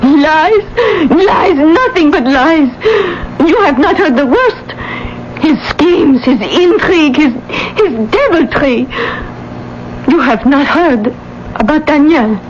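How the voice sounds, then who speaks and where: A lovelorn young heroine speaks high and slowly with halting, wistful drama. It is a radio play with dulled sound. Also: female voice, expressive. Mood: anguished. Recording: muffled, faintly noisy radio recording.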